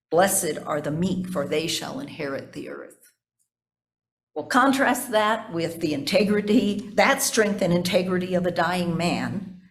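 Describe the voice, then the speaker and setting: A woman with a fairly low voice delivers a sermon as a pastor. She phrases things deliberately and repeats for emphasis, turning at times to speak conversationally to her congregation.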